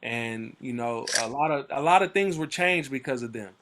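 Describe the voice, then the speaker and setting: A man's voice: medium pitch, expressive, moderate pace, noisy background. A man leading a book club over a video call, reading timeline entries aloud and commenting on them in a loose, conversational way with frequent fillers and restarts. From Oakland area, US. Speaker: book club discussion leader.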